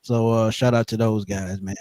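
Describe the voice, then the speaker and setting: Young male co-host, flat delivery, dry mic, joking shout-outs. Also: fairly low pitch, moderate pace, dry recording. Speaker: sports podcast co-host. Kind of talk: casual sports chat.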